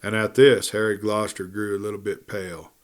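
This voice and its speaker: A man's voice: on the low side, level tone, a little fast. Man narrating a dramatic western story. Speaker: audiobook narrator.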